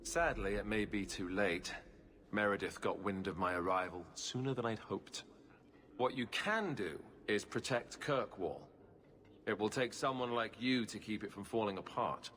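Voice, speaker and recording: A man plays a good-humoured king with a lowish voice, ringing in a big hall. He talks casually and drily, joking through his weariness in a fantasy game. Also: moderate pace, fairly bright tone, lightly acted. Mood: dry, concerned. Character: wry, good-humoured king, weary but hopeful. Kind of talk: video game voice acting.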